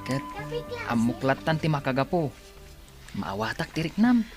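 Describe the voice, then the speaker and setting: An actor with a Filipino accent playing a kind, sympathetic young male friend in a radio drama, gentle and earnest. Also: mid-range voice, sing-song, moderate pace, over music, lightly acted.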